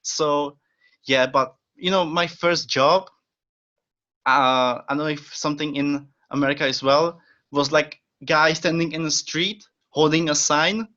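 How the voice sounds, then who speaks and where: A man's voice: fairly high pitch, fairly even intonation, unhurried, pushed and bright. Eager Czech-accented young man, lo-fi. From Czech Republic. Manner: eager, with frequent fillers and short laughs. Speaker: podcast guest. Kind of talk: casual interview chat. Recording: dull lo-fi sound.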